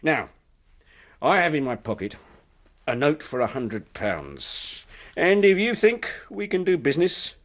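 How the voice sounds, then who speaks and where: An actor in a radio drama playing a genial, persuasive company recruiter, effusive and patronising. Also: male voice, on the high side, very animated, measured pace.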